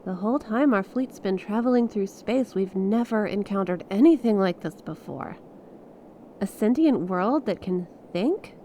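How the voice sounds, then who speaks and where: A woman playing an earnest, awestruck stranded space pilot in an ASMR audio roleplay, speaking expressively and haltingly. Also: mid-range voice, slight background noise, natural voice. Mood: amazed, delighted. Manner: expressive, halting, conversational, with small laughs and breathy exclamations. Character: stranded young female star scout pilot, awestruck and earnest.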